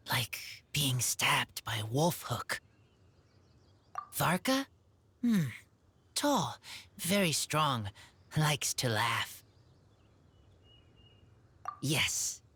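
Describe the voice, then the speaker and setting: Slow, low, halting wild boy. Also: fairly bright tone, a little hiss or hum, full character voice. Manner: halting, terse broken phrases. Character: feral wolf-raised teenage boy, halting, sincere, simple-spoken. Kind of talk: video game voice acting.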